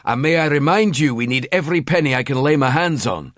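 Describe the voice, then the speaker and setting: A man's voice: mid-range voice, expressive, fast talker, no room sound, studio quality, voice pushed up, close to an everyday voice. A man voicing a nagging middle-aged wife with an East London accent, sarcastic and scolding.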